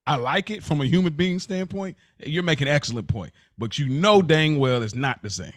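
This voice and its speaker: A man's voice: fairly high pitch, expressive, brisk, dry recording, clean studio sound. A man co-hosting a podcast in casual, slangy discussion, conceding points before he pushes back. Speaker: podcast co-host.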